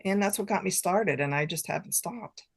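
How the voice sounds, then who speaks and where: A woman's voice: fairly low pitch, moderate pace, creaky, husky. An older woman chatting casually and informally as a guest author in an interview, with a giggly streak. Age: late 50s to 60s.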